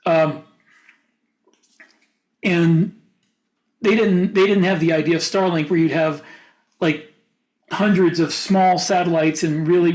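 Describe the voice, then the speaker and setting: A man co-hosting a podcast, talking casually with others about science fiction. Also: on the high side. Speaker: podcast co-host.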